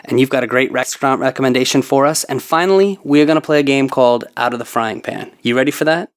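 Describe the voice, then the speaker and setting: A man's voice: mid-range voice, quick pace, clear, resonant tone. Upbeat male host leading an interview. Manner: upbeat, guiding questions. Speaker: podcast host. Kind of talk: hosted food interview.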